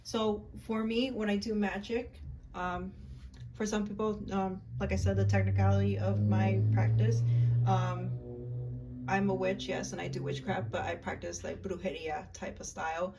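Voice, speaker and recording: A young woman casually walking viewers through a love spell, chatty and halting, with frequent ums and likes. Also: mid-range voice, dark tone, dull audio, a little hiss or hum. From United States, Puerto Rican and Peruvian family. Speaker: witchcraft vlogger.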